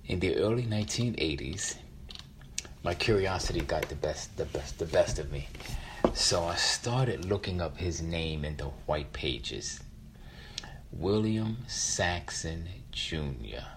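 A middle-aged man shares a personal story in a deep voice. He is reflective and draws out phrases for dramatic weight. There is faint noise behind him. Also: lively intonation, slow. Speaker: featured storyteller.

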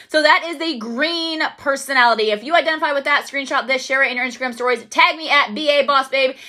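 High bright-voiced upbeat woman. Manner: upbeat, emphatic, repeats "okay?" often. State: voice going hoarse from overuse. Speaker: podcast host. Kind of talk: solo motivational podcast talk.

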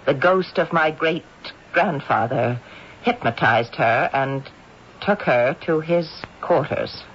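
An actress playing an earnest, pleading homeowner in a radio drama. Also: female voice, low-pitched, slow. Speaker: homeowner character. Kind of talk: 1970s radio drama acting. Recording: dull old radio broadcast, faint hiss.

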